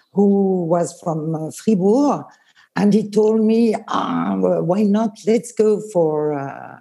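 Slow, mellow-voiced Swiss woman. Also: mid-range voice. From Switzerland. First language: Swiss German. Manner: hesitant, many fillers, laughs while telling stories. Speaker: former tour leader. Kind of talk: casual reminiscing chat.

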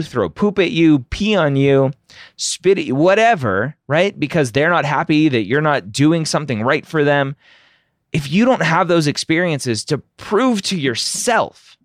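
A man's voice: a little high, no echo, studio quality. A middle-aged man hosting a podcast, giving candid, opinionated advice and tagging points with a rhetorical "right?". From United States. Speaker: podcast host answering listener questions. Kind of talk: casual advice Q&A.

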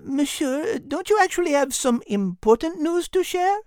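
Storybook feline lady: French accent, clever and elegant, high-pitched, very animated delivery. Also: moderate pace, no room sound, studio quality. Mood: polite, prompting. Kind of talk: animal-character storybook podcast acting.

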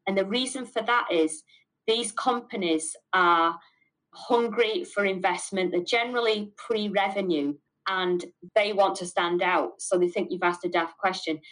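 A woman's voice: mid-range voice, measured pace, a bit bright, low-quality recording. Emphatic middle-aged Mancunian woman. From north of England. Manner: emphatic, repeats words for stress. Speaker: business owner being interviewed. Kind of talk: candid interview answers.

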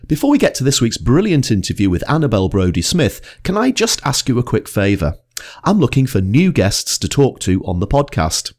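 A man's voice: mid-range voice, darkish tone. A man hosting a podcast, reading an upbeat promotional intro and then chatting with his guest in her office. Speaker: podcast host. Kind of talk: scripted intro then interview chat.

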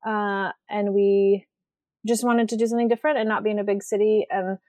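A woman's voice: mid-range voice, little pitch movement, a little fast, a little mellow, no echo. American woman chatting in a dry-sounding interview. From rural western Washington state, USA. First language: Swedish. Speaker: podcast guest, writer and artist. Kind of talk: casual conversational interview.

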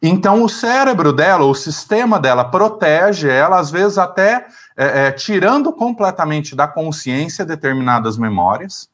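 Therapist guy talking it through, lots of "é" and "né", pitch all over the place. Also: fairly bright tone. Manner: thoughtful, searching for words, frequent fillers. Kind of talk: conversational explanation.

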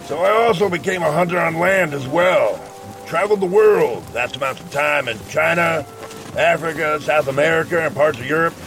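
An actor playing a gruff, boastful older hunter and former harpooner in a western audio drama, folksy and self-assured. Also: male voice, a little high, moderate pace, fairly bright tone, soft background music, close to an everyday voice. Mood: proud, storytelling.

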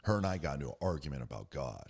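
A man's voice: very deep voice, very animated, very fast, a little mellow. A young man talking casually and reflectively, loose and slangy, often checking in with "you know what I mean". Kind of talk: casual conversation.

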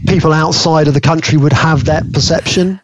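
A man's voice: on the high side, steady tone, a little fast, noisy background. A man hosting a podcast discussion in a hesitant, conversational way. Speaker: podcast host.

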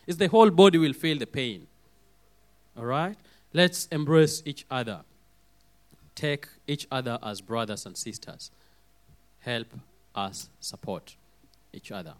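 A man with a hoarse voice preaching a sermon, calling out for amens and acting out little quoted voices as he goes. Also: medium pitch. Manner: lively, calls for amens, acts out quoted voices.

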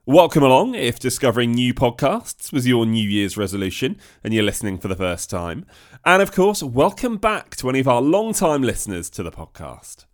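A British man presents a podcast from a script. His pitch is mid-range and moves around a great deal, giving his welcoming, chatty delivery plenty of lift. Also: sing-song, normal speed. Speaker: podcast host.

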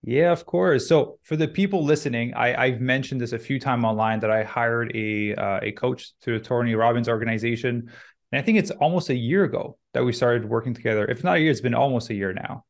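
A young adult man hosting a podcast, talking in an upbeat, quick way with frequent restarts. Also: medium pitch, creaky. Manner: upbeat, fast, many restarts. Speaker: podcast host. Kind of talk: podcast intro and interview.

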